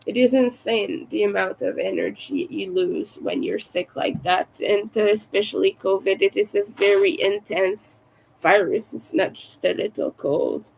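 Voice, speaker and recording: A woman with a raspy, soft-spoken voice, sick and low on energy, chatting casually and hesitantly on a livestream. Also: a little slow, a little hiss or hum, low-quality recording. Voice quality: raspy from vocal cord damage. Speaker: livestream host, crafter.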